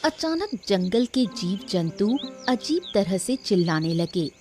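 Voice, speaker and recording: A woman's voice: medium pitch, very animated, measured pace, music in the background. A woman reading scripted narration for a story, as its narrator.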